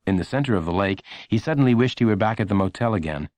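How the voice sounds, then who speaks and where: A man narrating a children's audiobook in an expressive, measured storytelling manner. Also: fairly low pitch, no room sound.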